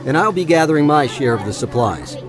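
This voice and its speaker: A man playing a wealthy, self-important older schemer in an audio drama, pompous and smooth-talking. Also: on the high side, expressive, brisk, over music, a crowd in the background, natural voice. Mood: calculating.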